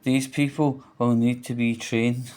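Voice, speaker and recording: A man vlogs in a casual, opinionated way at a slow pace. His voice sits at a middling pitch, and he rambles in loose, colloquial phrases with the odd swear word. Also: little pitch movement, darkish tone. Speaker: vlogger. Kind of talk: casual opinionated monologue to camera.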